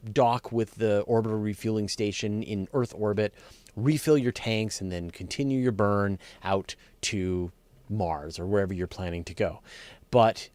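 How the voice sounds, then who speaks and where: A man with a fairly low voice talks through science questions as the host of a live show. His delivery is casual and unscripted, working out answers aloud and leaning on key words for emphasis. The sound is dry, with very little room in it. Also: darkish tone, no room sound.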